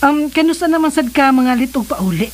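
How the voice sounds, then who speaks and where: High-voiced, protective Cebuano mother. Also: lively intonation, a little fast, slight background noise. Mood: motherly. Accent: Filipino Cebuano. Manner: scolding, motherly. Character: protective, suspicious mother of a grown son. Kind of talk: Philippine radio drama acting.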